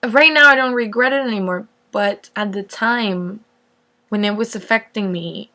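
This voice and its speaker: Young woman from mexico, spanish is her first language, just rambling casually to camera, kinda self-deprecating, normal mid pitch. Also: moderate pace, bright, forceful tone. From northeast Mexico. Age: 20s. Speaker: vlogger answering viewer questions.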